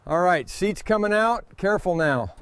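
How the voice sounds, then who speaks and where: A man's voice: sits high, lively intonation, normal speed, noisy background. A man giving hands-on workshop commentary as a vehicle restorer, in short instructional remarks to helpers.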